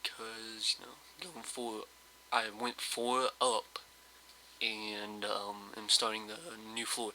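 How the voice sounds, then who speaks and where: A man with a lowish, very bright and forceful voice talks over his gameplay in a flat, monotone way. He sounds slightly hoarse, and he rambles and hesitates with plenty of fillers. A faint hiss sits under his voice. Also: slow, low-quality recording. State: slightly hoarse, just woke up. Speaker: let's play host. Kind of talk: casual gameplay commentary.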